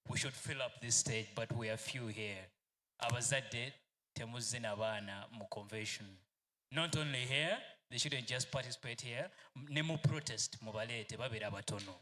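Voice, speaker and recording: A man, serving as youth secretary and giving a deliberate, rousing rally speech. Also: medium pitch, sharp tone, a little hiss or hum.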